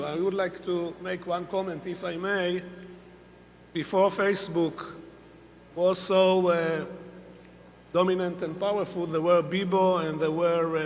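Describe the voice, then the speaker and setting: A man with a non-native English accent giving feedback as a panel judge, deliberate, with many pauses and dry humor. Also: high voice, long reverb tail, a little hiss or hum. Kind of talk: panel commentary.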